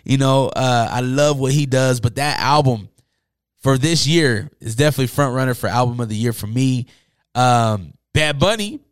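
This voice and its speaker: Man, casual podcast host, enthusiastic, slangy, voice tired from talking all day, smooth tone, dry sound. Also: medium pitch, no echo. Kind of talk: casual music talk podcast. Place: indoors, upstairs room.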